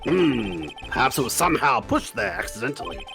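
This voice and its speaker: Man playing a gravelly, befuddled courtroom judge. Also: medium pitch, very animated, sharp tone, full character voice. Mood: solemn, puzzled. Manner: formal, deliberate questioning. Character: pompous, befuddled older male courtroom judge. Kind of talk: live comedic dub of a courtroom game. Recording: music behind the voice.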